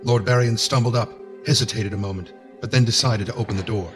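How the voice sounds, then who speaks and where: A man narrating a scripted fantasy game story in a measured, solemn storytelling manner. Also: on the low side, fairly even intonation, music in the background, natural voice.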